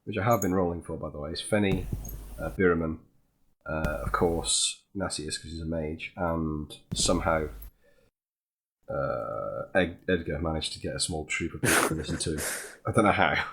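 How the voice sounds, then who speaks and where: A man's voice: a bass voice, normal speed, creaky, a bit bright, a little hiss or hum. A man acting as game master in a casual voice-chat tabletop game, prompting each player by name.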